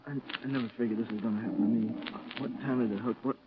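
An actor playing a naive, nervous young American Marine with a boyish, hesitant manner, in 1940s radio drama style. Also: male voice, medium pitch, steady tone, racing, natural voice. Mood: wounded, fading. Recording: dull, very noisy old radio broadcast.

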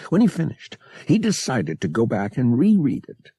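A man narrating fiction as an audiobook reader, dramatic and expressive with measured phrasing. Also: medium pitch, breathy edge, no echo, clean studio sound.

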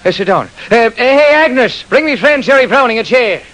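A man playing an excitable, honest garbage collector in an old-time radio drama. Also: very high voice, moderate pace, a little hiss or hum, pitched above the performer's own voice, rough recording. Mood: eager, anxious. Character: excitable honest Irish-American garbage collector turned millionaire. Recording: vintage radio recording.

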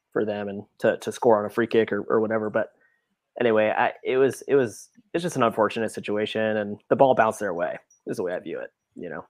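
A man's voice: lowish voice, no room sound. A man chatting casually as a panelist on a sports podcast, tentatively rephrasing his points as he goes.

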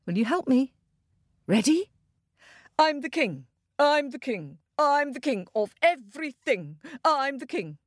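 A woman's voice: high voice, sing-song, measured pace, dry recording, clean studio sound, pitched above the performer's own voice. A woman voicing a pompous, petulant king in a children's story, exclaiming imperiously. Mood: boastful glee.

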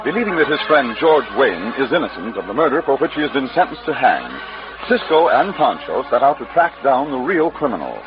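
A man giving dramatic scripted narration as the announcer of an old radio show. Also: mid-range voice. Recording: muffled vintage radio recording.

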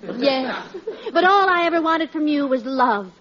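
High-voiced, firm radio wife. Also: female voice, expressive, measured pace, a bit bright. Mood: reproachful. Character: aggrieved, firm wife of a ruthless tycoon. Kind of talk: 1940s radio comedy acting. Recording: dull vintage radio recording.

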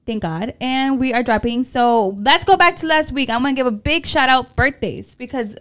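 A Mexican American woman in her late twenties co-hosts a casual podcast with a fairly high voice. Her talk is loose and full of swearing, and she laughs a lot as she drifts between English and Spanish. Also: moderate pace, fairly bright tone. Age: late 20s. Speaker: podcast co-host. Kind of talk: casual chat.

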